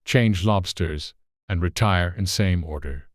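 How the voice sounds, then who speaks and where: A man voicing a blunt, excitable griffin who butts in, in a dramatized storybook reading. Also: deep voice, unhurried, dry recording, studio quality, voice pushed down. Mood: excited. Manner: blunt, interrupting. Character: brash, excitable griffin beast. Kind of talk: dramatized audiobook character.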